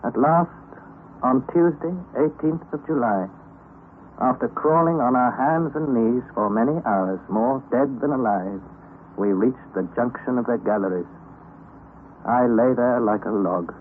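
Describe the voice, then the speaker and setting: A man's voice: medium pitch, a little slow, close to an everyday voice. An actor playing a young man who narrates his exhausting underground expedition in an old radio drama, earnest and dramatic. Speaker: narrator and nephew. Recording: tinny old radio recording, faint hiss.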